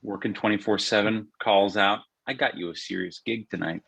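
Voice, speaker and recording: A man plays a brisk sidekick in a comedy script read. His voice is very low and raspy, with a hard, forceful edge that pushes each line. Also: highs cut off, heavily acted. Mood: pushy. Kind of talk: scripted screenplay table read.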